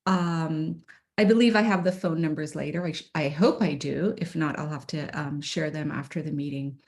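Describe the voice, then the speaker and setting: A woman's voice: low voice, moderate pace, a little mellow. A middle-aged woman from Ireland, presenting slides to parents at an online meeting in a friendly, informal way with frequent ums, on a computer mic. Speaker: special education administrator.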